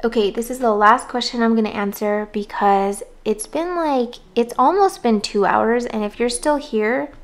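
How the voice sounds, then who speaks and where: A hoarse woman casually talking through plant care tips, relaxed and rambling. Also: on the high side, moderate pace, hardly any pauses, soft background music. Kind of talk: casual voice-over talk.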